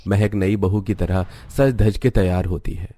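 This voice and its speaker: A man's voice: low-pitched, steady tone, unhurried, mellow. A man narrating a scripted cartoon story.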